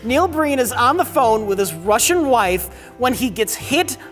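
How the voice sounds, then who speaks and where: A hoarse man giving a rambling, incredulous comedic recap of a plot as a panelist. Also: very high voice, big pitch swings, unhurried, a bit bright, soft background music.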